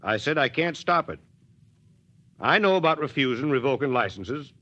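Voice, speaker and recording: An actor playing a principled, weary small-town Texas mayor in a folksy, drily ironic American manner, in 1940s radio drama style. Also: male voice, medium pitch, lively intonation, moderate pace, pushed and bright. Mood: resigned, tense. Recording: dull, lo-fi 1940s radio recording.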